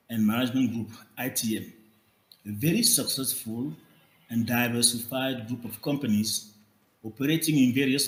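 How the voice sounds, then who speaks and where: Cameroonian-accented male tribute speaker, mid-pitched, formal and deliberate, in an echoey room. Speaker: funeral tribute speaker, business executive. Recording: roomy, echoing speech recording.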